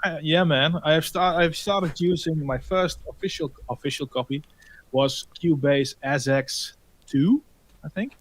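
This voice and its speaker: A man with a Dutch accent, a guest studio engineer speaking casually, halting with fillers. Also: on the high side, no echo. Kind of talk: casual chat.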